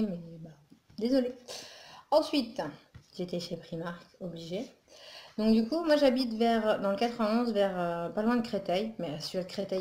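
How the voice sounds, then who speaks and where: A woman's voice: medium pitch, mellow, roomy sound. A woman from northern France chatting casually through a holiday shopping haul, rambling with lots of fillers and asides, recorded at home. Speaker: vlogger. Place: home room with Christmas tree behind.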